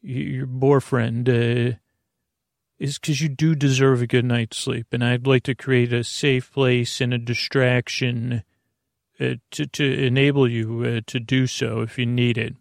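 Creaky-voiced man, rambling sleep podcast host. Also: mid-range voice, flat delivery, dry recording, clean studio sound. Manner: meandering, halting, trailing off and repeating words; silly, goofy tangents.

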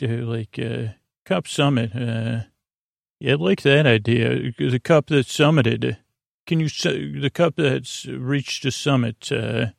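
Creaky-voiced man rambling softly off-script. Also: medium pitch, no room sound, studio quality. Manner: meandering, halting, absurdly whimsical. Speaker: podcast host. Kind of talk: rambling sleep-podcast monologue.

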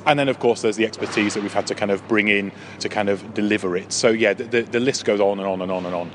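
A man's voice: fairly low pitch, fairly even intonation, fast. A British man giving interview answers in an emphatic way, often repeating words for stress. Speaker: theatre manager. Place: inside a circus big top tent. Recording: noisy location recording inside big tent.

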